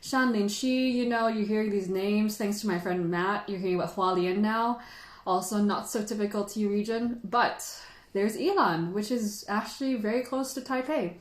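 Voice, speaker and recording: A woman's voice: medium pitch, normal speed. Sentimental woman livestreamer in an echoey room. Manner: sentimental, chatty, giggly. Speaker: livestream host and tea teacher. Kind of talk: casual livestream chat.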